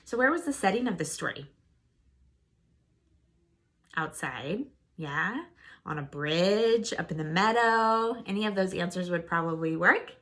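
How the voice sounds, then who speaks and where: A woman teacher reading a storybook aloud and teaching children, expressive, posing questions to her listeners. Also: fairly low pitch, unhurried, pauses often. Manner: expressive, asks listeners questions and answers them.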